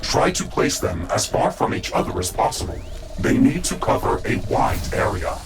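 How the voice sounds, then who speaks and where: Gravelly, formal mech-suit AI. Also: male voice, lowish voice, big pitch swings, moderate pace, over music. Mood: composed, procedural. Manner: formal, clipped, procedural announcing. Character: male AI of a mech suit, composed, dutiful advisor. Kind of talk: video game voice acting, status reports.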